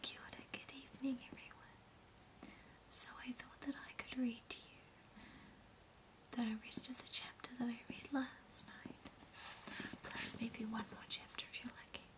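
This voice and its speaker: A woman with a New Zealand accent, whispering a gentle, soothing ASMR travel narration at an unhurried pace. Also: on the high side, little pitch movement.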